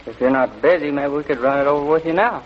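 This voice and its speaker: Casting: male actor as naive, puny sweet guy, fairly high voice, folksy, old radio comedy, muffled recording. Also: fast, natural voice. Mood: shy, hopeful. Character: skinny, sweet, naive little bandleader, hapless suitor. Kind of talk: 1940s radio comedy acting.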